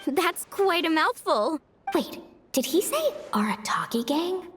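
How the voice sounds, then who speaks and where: A woman's voice: extremely high pitch, big pitch swings, unhurried, noisy background, full character voice. A woman playing a friendly, eager, spirited young competitor in a video game. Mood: curious, eager. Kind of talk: animated video game voice acting.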